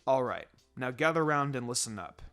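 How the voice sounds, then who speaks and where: A stern adult ninja teacher, acted out by a male narrator, in a story reading. He speaks low and measured, laying down rules with pleasant menace. Music plays behind him. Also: expressive, a bit bright. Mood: commanding. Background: background music.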